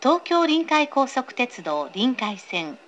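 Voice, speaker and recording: A woman's voice: high-pitched, sing-song, slow pace, a bit bright, lo-fi sound. A woman reading a scripted, pre-recorded train announcement in a polite, formal, carefully enunciated way. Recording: recorded aboard a train, clear background noise.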